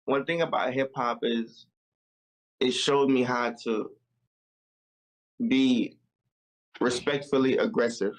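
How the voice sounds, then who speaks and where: A man's voice: medium pitch, steady tone, slow pace, pauses often, rough recording. A young man born in Jamaica and raised in America, a musician guest talking over a video call in a loose, reflective way.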